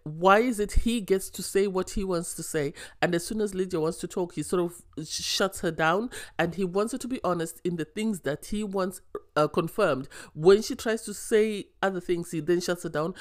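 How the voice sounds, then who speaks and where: Opinionated woman with a sore throat. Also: lowish voice. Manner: opinionated, exasperated questioning. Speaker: reality TV reviewer. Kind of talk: casual video review.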